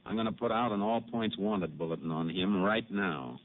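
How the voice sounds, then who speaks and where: An actor playing a steady Texas Ranger investigator, calm, clipped and matter-of-fact, in a 1950s radio drama. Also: male voice, on the low side, normal speed, heavy background noise, natural voice. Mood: firm. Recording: tinny old radio broadcast.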